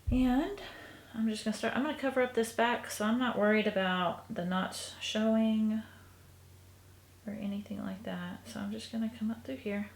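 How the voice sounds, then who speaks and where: A woman, sniffly, softly talking through a stitching project in a casual tutorial, often trailing into a half-whisper. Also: on the high side, normal speed, creaky, a little hiss or hum. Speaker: crafter showing a stitching project. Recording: echoey room recording.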